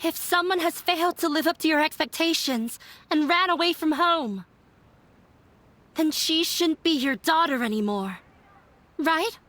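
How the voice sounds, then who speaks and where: High-voiced, earnest young daughter. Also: female voice, a little slow, a bit bright. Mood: hesitant, apologetic. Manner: earnest, articulate. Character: earnest, resolute young woman, estranged daughter of a scholar. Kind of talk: video game voice acting.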